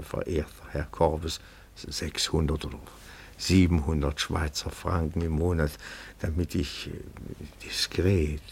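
A man's voice: extremely low pitch, gravelly, a little mellow, slightly rough, exaggerated voice. An actor playing a timid, pedantic, fawning amateur detective in a radio play, formal and deferential in his speech. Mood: humble pleading. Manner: formal, deferential, meticulous, hesitant. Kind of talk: theatrical radio play acting.

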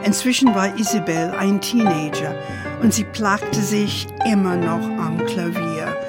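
Slow, deliberate storytelling grandmother played by a woman. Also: medium pitch, over loud music, close to an everyday voice. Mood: narrating. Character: grandmother Josephine, storytelling older woman, fond and dramatic. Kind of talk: radio play storytelling for children.

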